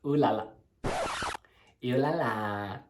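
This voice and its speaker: A man's voice: medium pitch, slow pace, noisy background. A man hosting a music blog video, gushing and sassy as he casually reviews song demos. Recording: roomy echoey room.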